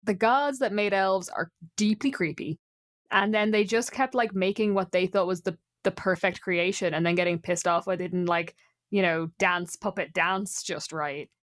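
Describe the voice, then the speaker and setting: A woman's voice: lowish voice, normal speed, no room sound, studio quality. A woman talking casually about folklore and games, opinionated and given to rambling asides.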